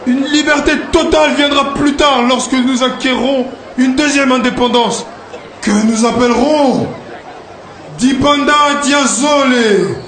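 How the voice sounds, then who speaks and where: Un homme jouant dans un dessin animé un prophète solennel, meneur vers la liberté, qui exhorte son peuple en déclamant. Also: male voice, very high voice, unhurried, a bit bright. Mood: solemn, reassuring. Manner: declamatory, exhortative preaching with emphatic slogans. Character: solemn prophet and freedom leader addressing his people. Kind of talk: animated film oratory, prophetic speech. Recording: echoey, noisy sound with crowd behind.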